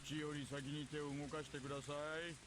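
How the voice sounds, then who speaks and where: An actor playing a menacing middle-aged police detective in a visual novel, speaking with taunting, mock politeness. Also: male voice, a little high, flat delivery, rapid-fire, natural voice. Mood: taunting, impatient. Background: rain falling.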